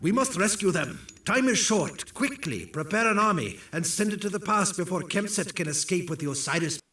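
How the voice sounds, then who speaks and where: A man's voice: sits high, animated, moderate pace, bright, forceful tone. An actor playing a loyal, urgent, commanding male military officer in a video game. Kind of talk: scripted video game cutscene acting. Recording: echoey, somewhat distant.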